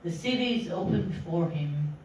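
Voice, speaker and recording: A woman reading poetry deliberately, pausing phrase by phrase. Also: lowish voice, expressive, a little mellow, a little hiss or hum. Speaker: poetry reader. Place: indoor literary meeting room. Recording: echoey room from far off.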